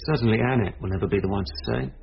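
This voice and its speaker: An actor playing a calm, reassuring male police inspector who explains things in a measured way, in radio drama style. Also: lowish voice, creaky, noisy background. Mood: grave, explanatory. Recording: slightly dull radio sound.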